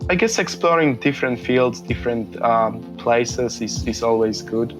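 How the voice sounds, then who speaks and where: A man in his thirties with a Polish accent answers interview questions in a halting way, full of fillers and restarts. His voice sits at a middling pitch for a man. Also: music in the background. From Poland. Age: 30s. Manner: hesitant, many fillers and repeated words. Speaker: interview guest. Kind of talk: casual interview conversation.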